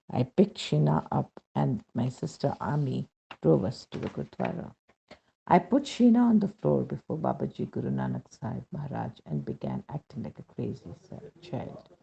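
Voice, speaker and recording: Devotional narrator: strongly gravelly, raspy and dark, reading scripted testimony with deliberate, dramatic emphasis. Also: mid-range voice, big pitch swings, poor audio.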